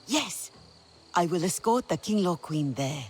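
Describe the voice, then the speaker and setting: A resolute alien woman with a low voice. She is a reverent spiritual guide, earnest and ceremonial in manner. Dramatic game acting, with music underneath. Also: expressive, a little slow, darkish tone. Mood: earnest, urging.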